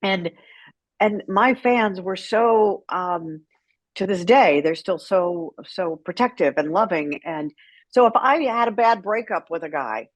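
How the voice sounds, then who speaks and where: A middle-aged American woman telling candid personal stories as an interview guest, frank and unfiltered, with dramatic pauses for emphasis. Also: medium pitch, lively intonation, no echo. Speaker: guest, writer and former radio personality.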